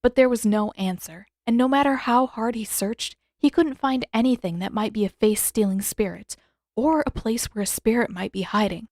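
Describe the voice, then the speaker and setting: A woman's voice: fairly high pitch, moderate pace, darkish tone, no echo, clean studio sound. A woman reading scripted story narration as an audiobook narrator.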